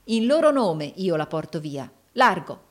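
A woman voicing a righteous, defiant young gentleman in an audiobook novel, emphatic, declamatory and commanding. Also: on the low side, sing-song, a little slow.

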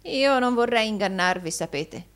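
A woman voicing a sly old male moneylender in an audiobook, gushing flattery in repeated exclamations. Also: a little high, a little slow, voice pushed up. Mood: whining, servile. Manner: gushing, repetitive, exclaiming with groans and chuckles.

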